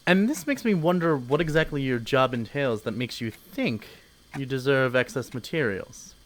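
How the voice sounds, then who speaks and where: An actor playing a pompous, officious corporate boss in an audio drama, condescending and easily offended. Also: male voice, medium pitch, expressive, moderate pace, natural voice.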